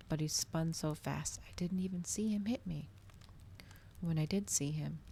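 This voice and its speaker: Female ASMR reader, low and creaky, hushed delivery drifting into whispers, faint noise behind. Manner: hushed, often slipping into whispers. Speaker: ASMR streamer reading a novel aloud. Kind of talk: ASMR storybook reading.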